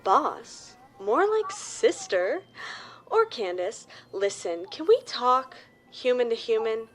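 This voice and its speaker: Fake chummy lady boss, patronizing, highish voice, animated, faint music behind. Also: slow pace. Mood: falsely friendly. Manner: patronizing, falsely friendly. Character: falsely chummy female boss and harried mother. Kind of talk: comedy audio drama acting.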